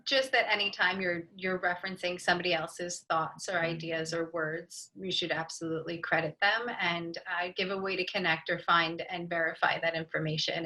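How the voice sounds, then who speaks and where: A woman's voice: fairly low pitch, bright, forceful tone, low-quality recording. A woman giving casual advice as a member of a panel. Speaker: podcast panelist.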